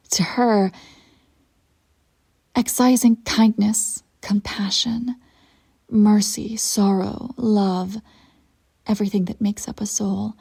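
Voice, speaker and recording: Woman playing a husky, creaky-voiced immortal evil empress. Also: medium pitch, warm tone, heavily acted. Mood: condescending. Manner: deliberate dramatic storytelling, haughty and intimate. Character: immortal ruthless evil empress sorceress, self-satisfied, coolly melodramatic. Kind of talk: scripted roleplay audio monologue.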